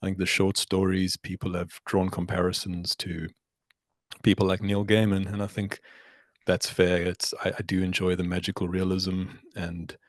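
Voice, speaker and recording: A middle-aged man speaks in a very low, unhurried voice, weighing his words as he talks about his craft. The sound is dry and close. He comes across as thoughtful and gracious. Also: level tone, no room sound. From South Africa. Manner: reflective, measured, warmly thankful. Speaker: musician guest. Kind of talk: casual interview chat.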